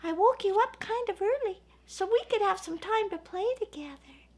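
A woman voicing a high sing-song little snowman. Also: moderate pace. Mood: cheerful. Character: gentle, cheerful little snowman friend.

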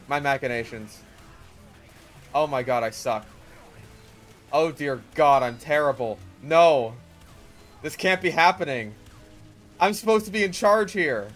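A man giving joking gameplay commentary, sarcastic, swearing often, swinging between low deadpan mumbles and loud outbursts. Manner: swears often, riffs on memes and cartoon bits. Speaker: gaming channel host.

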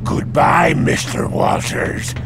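A man playing a menacing villain in a video game, with a gravelly voice, taunting his pursuer. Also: slow pace, very noisy, natural voice. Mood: menacing farewell.

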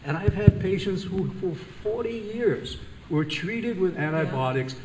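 An older man from Hawaii with a loud voice, giving a chatty, joking conference lecture as a physician. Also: sits high, animated, unhurried, a little hiss or hum. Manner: chatty, self-deprecating, laughs at own jokes. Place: large conference room. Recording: echoey room, voice from a distance.

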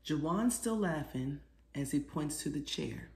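A middle-aged woman reading a children's storybook aloud expressively, pausing to put questions to her young listeners. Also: deep voice, lively intonation, vocal fry, a little mellow, a little hiss or hum. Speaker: children's author reading her own book. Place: small living room, seated on a sofa. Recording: roomy indoor echo.

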